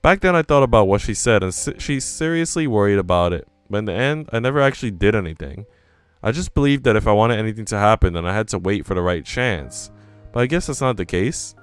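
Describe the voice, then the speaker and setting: Guy reading a visual novel out loud on stream, smooth lowish voice, faint music under him. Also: lively intonation, fast, dry recording. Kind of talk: live read-aloud of visual novel narration with casual asides.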